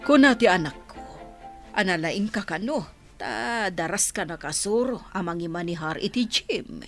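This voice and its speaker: A woman playing a brisk, materialistic middle-aged mother in a Filipino radio comedy drama. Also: mid-range voice, expressive, soft background music, lightly acted. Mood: bossy.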